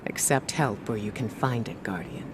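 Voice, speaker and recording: An actor playing a solemn, encouraging mentor in a video game, speaking in a measured, formal manner. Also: male voice, on the low side, noisy background, natural voice. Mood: solemn, reassuring. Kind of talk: scripted game dialogue. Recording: music behind the voice.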